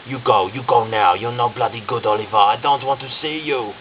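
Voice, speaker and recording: A man's voice: lowish voice, animated, unhurried. Brief: scolding older landlady in broken English, voiced by a male narrator. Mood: angry. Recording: slightly dull with faint hiss.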